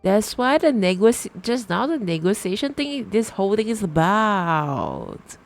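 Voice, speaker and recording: A woman's voice: mid-range voice, very animated, measured pace, creaky. A woman live streamer giving casual reaction commentary over a video game. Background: game music.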